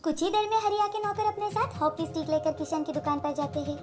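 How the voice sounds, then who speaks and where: A woman's voice: very high voice, fast, over music. A woman reading a scripted story as its narrator.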